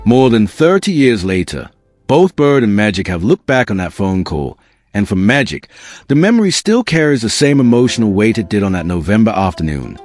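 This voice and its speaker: A man's voice: on the low side, sing-song, moderate pace, darkish tone, faint music behind. A man reading scripted documentary narration in an earnest, dramatic storytelling manner.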